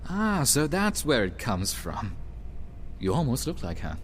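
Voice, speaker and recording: An actor playing a loyal, caring male friend in an audio drama, gently teasing and sincere. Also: mid-range voice, huge pitch swings, unhurried. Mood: gentle, curious. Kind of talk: naturalistic audio drama acting. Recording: background ambience and faint noise.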